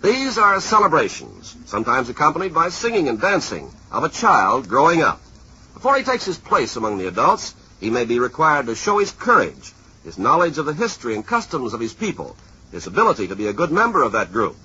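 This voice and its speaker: A very raspy, bright-toned American man speaks with a highly animated pitch on a dull old broadcast. He explains things formally, as a radio announcer reading a script. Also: fairly quick. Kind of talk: scripted vintage public-service radio talk.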